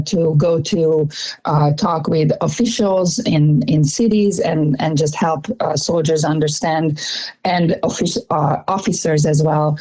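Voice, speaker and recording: Hungarian-accented woman with a low, mellow voice. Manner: earnest, frequent "uh" fillers and restarts. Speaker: guest. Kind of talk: podcast interview, personal story.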